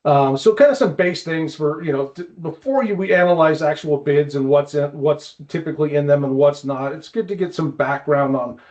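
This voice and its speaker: A man hosting a podcast in a casual interview style, with a halting delivery that often repeats words. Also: fairly high pitch, a little mellow, muffled recording. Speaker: podcast host.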